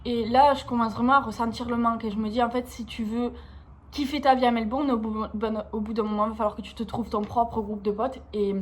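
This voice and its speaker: A young woman with an accent from France, vlogging in an informal, slangy, expressive way, confiding casually in her viewers. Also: on the high side, fairly quick. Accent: French from France. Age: young adult.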